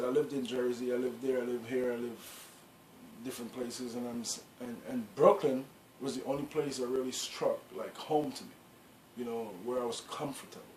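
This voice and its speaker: A man's voice: medium pitch, darkish tone. A middle-aged man from Guyana, telling in a rambling, casual way how his clothing brand began, full of "you know" and restarts. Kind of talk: casual storytelling interview. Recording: echoey room with faint background noise.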